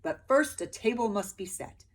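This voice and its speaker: A woman reading the part of crafty, coaxing soldiers in a storybook, with drawn-out, emphatic phrasing. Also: mid-range voice, lively intonation, pitched above the performer's own voice, natural voice. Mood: proclaiming. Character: crafty male soldiers coaxing villagers, wistful and grand.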